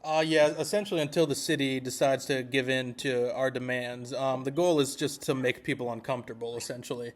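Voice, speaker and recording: A man playing an earnest, mild-mannered association representative on a phone call, speaking politely and hesitantly with a deadpan delivery. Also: medium pitch, fairly quick, few pauses, lightly acted. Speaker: prank caller posing as an activist group representative. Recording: noisy phone-call recording.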